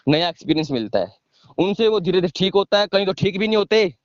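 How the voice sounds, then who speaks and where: A man's voice: high-pitched, animated, fast talker, no echo. A man explaining things informally on a phone call, emphatic and repetitive, often checking in with short tag questions. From village in India. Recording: dull lo-fi phone call recording.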